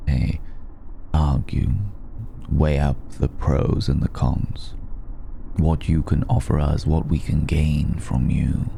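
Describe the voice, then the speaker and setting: A man playing a suave, worldly old vampire in an intimate ASMR roleplay, speaking with refined, wry hesitation and a gravelly voice. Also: little pitch movement, takes it slowly, a little hiss or hum, exaggerated voice. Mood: amused, matter-of-fact. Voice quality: gravelly, dark low voice. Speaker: voice actor in audio roleplay.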